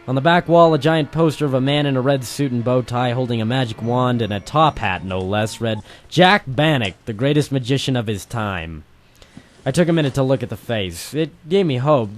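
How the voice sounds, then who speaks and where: A man's voice: mid-range voice, lightly acted. A man playing a hardboiled private eye, narrating dramatically and wryly in the style of an old-time noir radio drama. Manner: wry, dramatic first-person storytelling. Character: hardboiled male private eye narrating his own case.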